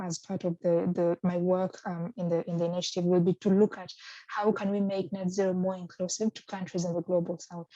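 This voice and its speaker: A woman from Kenya in her thirties answers interview questions with care, often pausing and circling back to rephrase. Her voice sits low, with a flat, mellow tone. The recording sounds dry and muffled, like a lo-fi video call. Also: no room sound. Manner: hesitant, many ums and restarts. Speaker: research fellow, interviewee.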